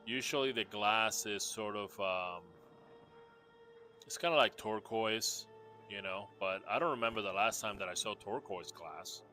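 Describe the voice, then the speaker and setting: Man from Puerto Rico, low and unhurried, casual rambling gamer showcase. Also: sharp tone, faint music behind. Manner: rambling, laid-back, many fillers and restarts. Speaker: gamer showing off character builds. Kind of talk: casual game showcase chat.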